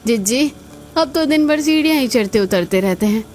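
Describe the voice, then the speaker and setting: A woman's voice: on the high side, expressive, a little fast, a little mellow, slight background noise, natural voice. A woman voicing housewives and mothers-in-law in a family cartoon, chatty and exclamatory. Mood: weary complaining. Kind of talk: scripted cartoon dialogue.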